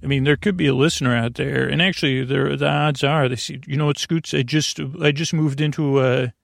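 Creaky-voiced man rambling soothingly. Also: medium pitch, steady tone, fairly quick, no echo, clean studio sound. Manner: meandering, hesitant, stammering with tangents. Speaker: host of a bedtime sleep podcast. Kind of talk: rambling, soothing bedtime monologue.

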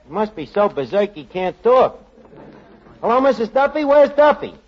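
An actor playing a wisecracking, scheming tavern manager, in a 1940s radio comedy, talking brash and slangy. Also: male voice, sits high, lively intonation, normal speed, breathy edge. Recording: lo-fi old radio broadcast.

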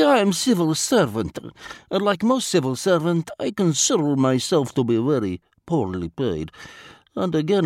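A man's voice: sits high, smooth, no echo, studio quality, pitched above the performer's own voice, heavily acted. A man voicing a wily, self-mocking foreign spy who speaks formal English and drops his articles.

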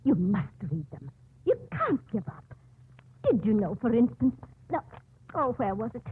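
A muffled, phone-like old radio drama recording carries a kindly nun. She is a homely, chatty woman who fusses as she talks. Her voice is very husky and swings up and down in a lively way. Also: on the high side, a little slow, heavily acted. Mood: chatty, insistent. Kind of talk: 1950s radio drama acting.

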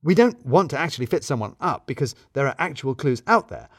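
Guy playing fretful office detective type, emphatic, pitch all over, dry close sound. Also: very animated, a little fast, no echo. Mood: scheming, insistent. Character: obsessive, fretful male office-worker amateur detective.

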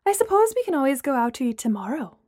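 A friendly, eager young woman, played by a woman narrator in an audiobook. Her pitch is high and lively. She comes across as polite and easygoing. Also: quick pace, dry recording, studio quality, faint music behind. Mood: cheerful, easygoing.

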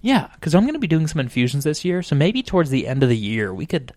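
A man's voice: on the high side, big pitch swings, fast talker, a little mellow. A man in his late twenties co-hosting a casual podcast over drinks, rambling off on tangents and swearing freely. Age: 20s. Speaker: podcast co-host.

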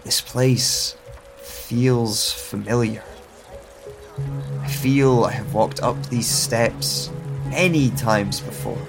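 A man narrating a first-person audio drama in a slow, hushed, introspective way, over a music score and the sound of rain. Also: mid-range voice, vocal fry.

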